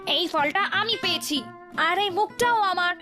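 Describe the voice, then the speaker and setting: A woman's voice: very high voice, a little slow. A woman voicing a selfish, bossy bird in a children's cartoon fable, with a scolding manner. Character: selfish, bossy first head of a two-headed bird. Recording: prominent music behind the voice.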